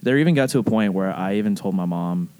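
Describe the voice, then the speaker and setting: A man from the rural American South telling a personal story casually, in animated, rambling speech full of profane slang. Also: lowish voice, fast, no room sound. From southern United States, rural Alabama.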